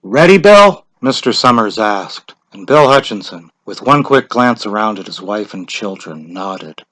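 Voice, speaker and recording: A man's voice: fairly low pitch, a little slow, pushed and bright, no room sound, poor audio. A man narrating a short story as a scripted dramatic reading.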